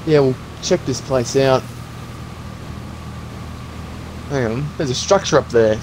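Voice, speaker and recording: A man playing a calm, practical zombie apocalypse survivor in an improvised game roleplay, talking in an encouraging, instructive way. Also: medium pitch, moderate pace, background noise, close to an everyday voice. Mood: matter-of-fact.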